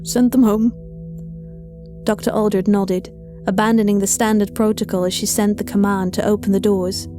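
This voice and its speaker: A woman reads horror fiction in a mellow, dark-toned voice at a middle pitch. Her storytelling is measured and dramatic, with faint music underneath. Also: flat delivery. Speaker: narrator. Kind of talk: scripted horror story narration.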